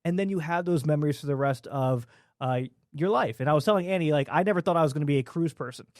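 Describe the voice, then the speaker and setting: A man's voice: fairly high pitch, quick pace, a little mellow, no room sound, studio quality. A man hosting a casual TV panel discussion in a conversational way. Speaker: host leading a group discussion. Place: TV studio.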